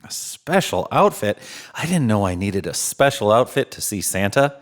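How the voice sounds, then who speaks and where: A man's voice: fairly low pitch, very animated, normal speed. A man voicing a small, wistful, hopeful male teddy bear in an earnest, wondering way for a children's storybook.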